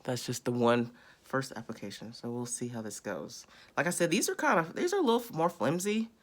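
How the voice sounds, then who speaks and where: A woman in her thirties with a raspy voice, chatting casually while she demonstrates a beauty routine close to a phone camera indoors. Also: very deep voice, expressive, brisk. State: raspy after illness. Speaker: beauty vlogger. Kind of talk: casual how-to demo. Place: small indoor room.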